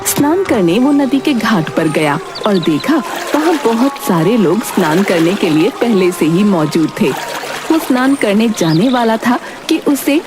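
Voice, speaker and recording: A woman reading scripted narration for a children's moral story in an expressive storytelling way. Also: mid-range voice, unhurried, music in the background.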